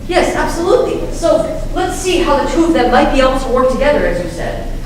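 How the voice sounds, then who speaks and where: A high-voiced woman presents an educational puppet show for children. She asks simple, direct questions. She sounds far from the mic in a very echoey room. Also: big pitch swings, fairly quick, crowd noise. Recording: very echoey, distant, faintly noisy room.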